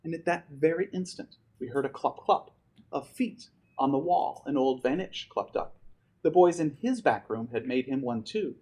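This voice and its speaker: A man reads a rhyming children's story in a theatrical way. His pitch is medium and highly animated, rising and falling with the drama. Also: normal speed, slightly rough. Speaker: storybook reader. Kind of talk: expressive rhyming children's story read aloud to students.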